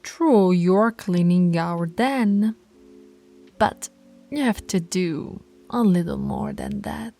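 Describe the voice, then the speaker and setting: A woman's voice: medium pitch, takes it slowly, gravelly, warm tone, faint music behind. A woman voicing a dominant female werewolf pack alpha in an audio roleplay, teasing and commanding, often dropping to a whisper. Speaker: voice actress.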